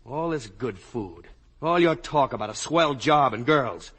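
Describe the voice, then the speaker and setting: A man's voice: mid-range voice, animated, measured pace, a bit bright, slightly rough. An actor playing an intense, wounded young American man with a bitter streak in a 1950 radio drama. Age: young adult. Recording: old 1950 radio broadcast.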